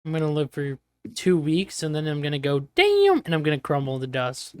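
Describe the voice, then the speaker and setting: Young male game master, smooth clear voice over a chat mic, playful and teasing. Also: fairly high pitch, very animated, a little fast, a little mellow, no room sound. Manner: joking, teasing, trails off mid-sentence. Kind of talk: casual voice chat running a tabletop game. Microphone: online voice chat mic.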